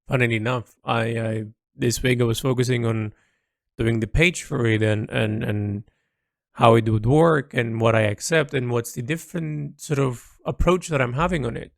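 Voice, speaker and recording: A man talking casually and reflectively in a personal audio log, halting as he searches for words and repeats himself. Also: mid-range voice, creaky, dry recording, clean studio sound. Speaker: creator recording an audio log.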